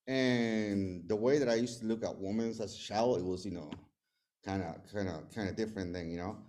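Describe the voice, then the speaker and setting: A man's voice: lowish voice, fairly quick, a little mellow. A man in his forties from El Salvador with a Salvadoran Spanish accent and Spanish as his first language, sharing his recovery story at a meeting, nervous and hesitant, with many fillers and restarts. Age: 40s. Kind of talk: personal recovery testimony.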